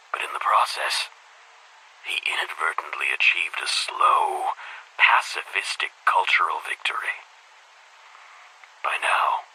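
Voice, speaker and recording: A brooding older headmaster recording a game audio log. His voice is low, with a heavy breathy rasp and a very sharp, tense edge. He tells things deliberately, weary and cynical, like someone confiding in a tape recorder. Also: exaggerated voice, poor audio. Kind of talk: dramatic monologue, video game audio log.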